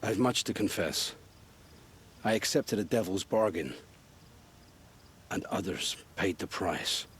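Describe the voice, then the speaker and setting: A man's voice: low voice, animated, slow, long pauses, a bit bright, breathy edge, full character voice. A man acting an earnest, honour-bound, guilt-ridden family man in a video game, speaking in formal old-fashioned phrases. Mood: remorseful.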